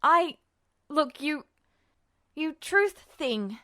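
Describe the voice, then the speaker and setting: Woman voicing Ed, a desperate, high-pitched, defiant youth. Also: expressive, extremely slow, no room sound, studio quality, full character voice. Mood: pleading, panicked. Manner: gasping, stammering, shouting. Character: desperate, frightened, defiant, foul-mouthed young man, Ed. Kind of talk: audio fiction reading.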